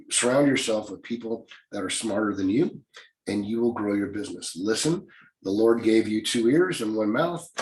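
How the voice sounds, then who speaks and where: A man with a slightly raspy voice, teaching a live online class in a casual, encouraging way that keeps checking in with "okay?". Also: mid-range voice. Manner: folksy, encouraging, repeats "okay?". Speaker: real estate investing teacher. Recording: echoey room sound.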